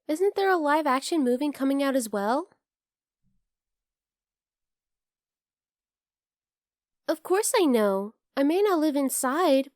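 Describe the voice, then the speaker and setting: A very high, girlish bunny girl, played by a woman, recorded close and dry for an ASMR roleplay. She is teasing and outdoorsy, curious about humans, and blunt in a casual, playful way. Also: darkish tone, no room sound. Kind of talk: ASMR roleplay addressed to the listener.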